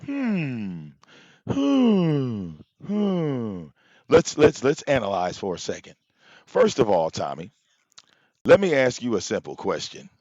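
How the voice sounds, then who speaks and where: A man hosting a live stream, delivering a loud, profane, confrontational rant full of rhetorical questions. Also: medium pitch, wildly swooping pitch, takes it slowly, dry recording. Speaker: livestream host. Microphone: popping mic. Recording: dull, slightly lo-fi, dry sound.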